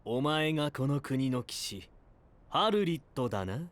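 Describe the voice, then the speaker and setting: An actor playing a friendly, playful young male knight in an anime-style voice drama, smooth-talking and teasing. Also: medium pitch, animated, measured pace, noisy background, close to an everyday voice. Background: music.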